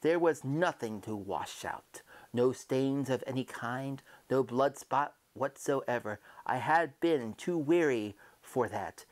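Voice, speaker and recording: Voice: medium pitch, lively intonation, slow pace, few pauses. A performer voicing a guilt-mad murderer in a dramatic, theatrical reading of a gothic horror tale. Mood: smug, hushed. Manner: theatrical, swinging from hushed to shrieking. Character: guilt-mad murderer narrating his confession, increasingly frantic.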